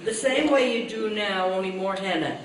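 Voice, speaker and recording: A woman's voice: medium pitch, normal speed, close to an everyday voice. A woman playing a dramatic, earnest, scheming housewife in an old-time radio comedy, performed live on stage. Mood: coaxing, insistent. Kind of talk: old-time radio sitcom acting before live audience. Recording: echoey hall, distant live stage, faint noise.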